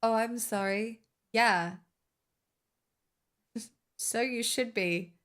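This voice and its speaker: High-voiced young woman streamer joking around. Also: extremely slow, a bit bright, faint music behind. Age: 20s. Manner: joking, self-mocking banter. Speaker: live streamer. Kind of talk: casual live-stream chat.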